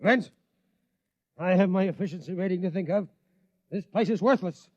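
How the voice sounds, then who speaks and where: A man's voice: high voice, moderate pace, darkish tone, dry recording. A man playing a pompous, irritable military inspector in a 1950s-style radio drama, barking and blustering. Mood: exasperated, indignant. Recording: dull, band-limited old radio recording.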